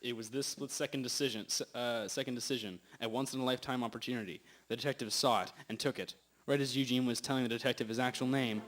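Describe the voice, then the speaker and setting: A man's voice: medium pitch, steady tone, fast, hardly any pauses, natural voice. A young man playing the storyteller of a detective radio drama, reading the script with occasional stumbles. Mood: dramatic. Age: teenager or young adult. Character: male storyteller recalling an old detective case. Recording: loud music over amateur recording.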